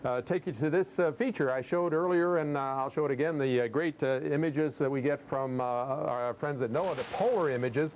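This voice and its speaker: American guy doing live tv weather, voice a bit on the high side, chatty explainer style, emphatic. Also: normal speed, few pauses, a little mellow, slight background noise, low-quality recording. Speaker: TV weather forecaster. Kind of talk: ad-libbed live weather report with commentary on satellite maps.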